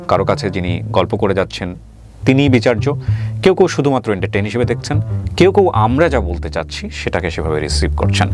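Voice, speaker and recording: A man with a Bangladeshi accent hosting a life-story interview show, speaking in a formal, explanatory way. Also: lowish voice. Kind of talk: spoken show introduction and interviewing. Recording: loud background music under the voice. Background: music.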